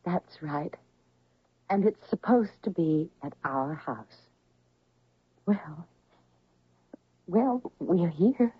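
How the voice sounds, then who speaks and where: An actress playing a calm, firm wife in a radio drama, measured and husky. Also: female voice, on the low side, heavily acted. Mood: quietly resolute. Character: calm, firm, devoted wife soothing her husband. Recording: muffled old radio broadcast.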